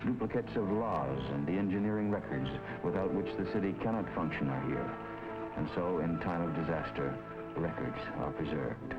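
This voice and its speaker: A man with a very low, deep voice reads documentary narration in a measured, solemn way. The sound is a muffled, lo-fi 1950s film track. A prominent orchestral score plays beneath him. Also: over loud music. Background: film score under the voice.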